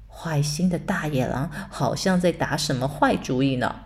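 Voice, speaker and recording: A woman narrating a bedtime story for children in a soft, soothing way. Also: low voice, takes it slowly. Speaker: storyteller mother.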